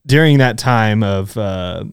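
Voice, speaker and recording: A man co-hosting a book podcast who wanders through his stories and pokes fun at himself, often laughing mid-sentence. His voice is low and smooth, and the recording is close and dry. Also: expressive, slow pace, dry recording. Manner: rambling, self-deprecating, laughs while talking. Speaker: podcast co-host. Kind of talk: casual book chat.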